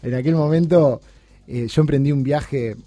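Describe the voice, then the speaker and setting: Un hombre uruguayo de treinta y tantos, invitado en una radio, habla con muchas pausas, titubeos y repeticiones. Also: male voice, medium pitch, mellow, no echo, soft background music. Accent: Uruguayan Spanish. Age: 30s. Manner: halting, many restarts and fillers. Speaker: guest. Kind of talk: casual interview chat. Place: radio studio.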